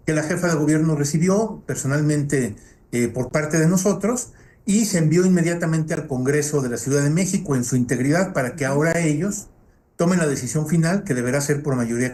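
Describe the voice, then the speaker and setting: A man being interviewed as a council president, answering formally and deliberately with frequent hesitations. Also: a little high, dark tone. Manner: formal, deliberate, with many "eh" fillers.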